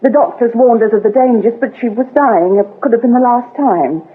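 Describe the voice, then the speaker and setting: A husky woman's voice, a British vicar's daughter in a radio drama. She is guarded and shaken, confessing in hesitant, subdued phrases. The recording is thin and phone-like. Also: fairly high pitch, fairly quick.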